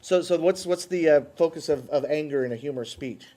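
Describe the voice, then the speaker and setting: A man hosts a relaxed interview with a mellow, somewhat high voice. He stumbles and repeats words as he asks questions, and he laughs easily. The tone stays friendly throughout. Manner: halting, repeats words and restarts often. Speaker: host. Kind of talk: casual interview chat.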